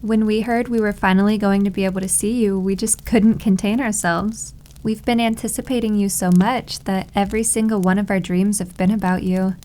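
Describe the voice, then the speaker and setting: A woman's voice: medium pitch, fairly even intonation, a little fast, hardly any pauses, dark tone, lightly acted. Teasing, possessive maid girlfriend played by a woman. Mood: playful, gleeful. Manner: cooing, teasing, confident. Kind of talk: roleplay ASMR acting.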